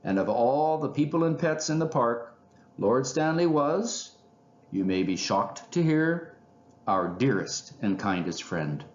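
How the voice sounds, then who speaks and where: A man's voice: medium pitch, big pitch swings, slow pace, roomy sound, highs cut off, pitched above the performer's own voice. A man voicing a pompous old male owl lecturer in a children's storybook, commanding and oratorical, with dramatic flair. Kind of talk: children's storybook character reading.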